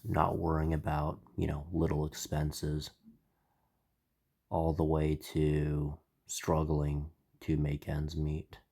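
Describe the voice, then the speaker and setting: A man hosting his own channel, speaking with a stuffy, slightly nasal voice in a slow, reflective spiritual monologue. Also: extremely low pitch, monotone, vocal fry, dark tone, breathy edge. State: stuffy, congested. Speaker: channel host.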